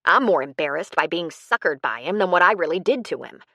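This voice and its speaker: A woman's voice: fairly low pitch, lively intonation, brisk, bright, forceful tone, no room sound, studio quality, heavily acted. A woman voicing an embarrassed, bitter older woman who confides defensively in an audiobook story.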